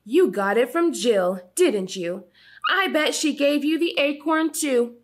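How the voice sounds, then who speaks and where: Storybook mother squirrel, played by a woman: stern, exasperated, high and swooping. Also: lively intonation. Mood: scolding, exasperated. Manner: exasperated, drawn-out emphasis.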